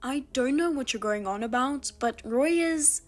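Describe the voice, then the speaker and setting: A woman voicing a betrayed older sister who talks in a flat, cold, restrained way. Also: high-pitched, normal speed, soft background music. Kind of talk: narrated drama voice-over.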